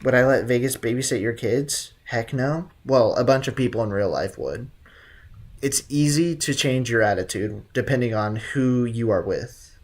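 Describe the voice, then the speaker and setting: A man's voice: mid-range voice, moderate pace. A man hosting a casual game livestream, commenting and reading chat with blunt, teasing banter. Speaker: livestream host.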